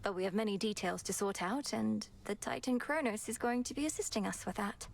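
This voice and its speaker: A woman voicing an earnest young goddess princess in a game, speaking in a formal, measured way. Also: mid-range voice, gravelly. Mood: earnest, reflective. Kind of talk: scripted game voice acting.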